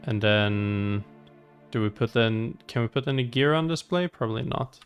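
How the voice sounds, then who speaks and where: A man's voice: mid-range voice, normal speed. A man doing casual, upbeat gameplay commentary as a streamer, thinking aloud as he goes. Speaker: game streamer. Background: faint game music.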